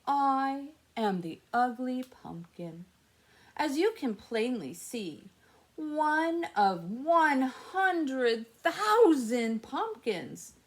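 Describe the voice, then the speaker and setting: A woman voicing a lonely, hopeful misfit pumpkin from a children's storybook, with a plaintive, drawn-out sing-song delivery. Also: sits high, sing-song, full character voice.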